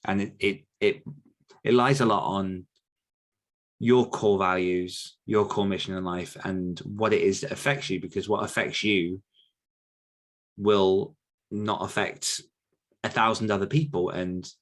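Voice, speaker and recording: Low-voiced man in casual podcast talk. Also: fairly even intonation, unhurried, long pauses. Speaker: author coach answering questions on a podcast.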